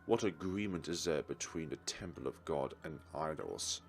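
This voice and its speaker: Man putting on an ominous, very deep hooded prophet. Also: expressive, soft background music. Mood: solemn, cryptic. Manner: slow, solemn, portentous. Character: ominous hooded man, cryptic, solemn scripture-quoting prophet.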